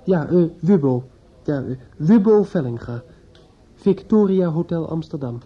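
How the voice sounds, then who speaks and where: A man's voice: a little high, animated, unhurried, husky. An actor playing a distraught, desperate young man in a radio play, hesitant and stammering as he speaks. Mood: halting, subdued. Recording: muffled old radio play recording.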